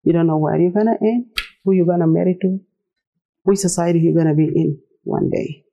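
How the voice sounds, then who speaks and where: A woman's voice: lowish voice, fairly quick, gravelly, warm tone, highs cut off. A middle-aged woman from South Sudan, a native Arabic and Dinka speaker with a South Sudanese accent, gives a personal presentation in earnest, plainly worded non-native English. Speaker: speaker telling her own story.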